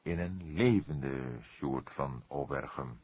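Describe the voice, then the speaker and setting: Man playing a deep, deliberate old detective. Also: a little mellow, husky, heavily acted. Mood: probing, courteous. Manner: slow, deliberate, drily modest. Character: old grey-haired male police detective, wry, courteous, ponderous. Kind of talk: Dutch audiobook character voice.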